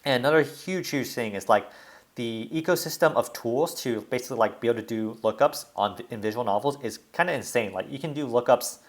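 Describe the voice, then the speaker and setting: A man's voice: mid-range voice, fairly quick. A young man in a casual, reflective vlog monologue, rambling and restarting often, with constant "like" fillers. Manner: rambling, heavy use of "like" fillers, frequent restarts. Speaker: language learning vlogger.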